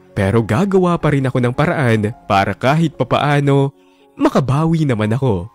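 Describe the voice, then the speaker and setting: A man's voice: mid-range voice, darkish tone, close to an everyday voice. An actor narrating in first person as a bitter, jilted young man, brooding and resentful, in a radio drama.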